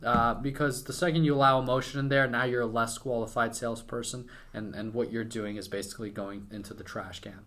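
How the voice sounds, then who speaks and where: Young guy from western ukraine, native ukrainian speaker, bright punchy voice, chatting informally like a podcast guest. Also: medium pitch, fairly even intonation, a little fast, hardly any pauses. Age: young adult. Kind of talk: casual conversational interview.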